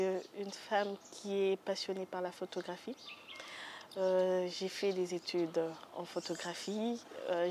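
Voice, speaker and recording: Young congolese woman telling her story, soft and halting, lots of euhs, light hiss behind. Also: on the low side. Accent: Congolese French. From Democratic Republic of the Congo. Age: young adult. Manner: hesitant, many fillers, soft-spoken. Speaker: guest photographer. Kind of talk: interview answers. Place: TV studio.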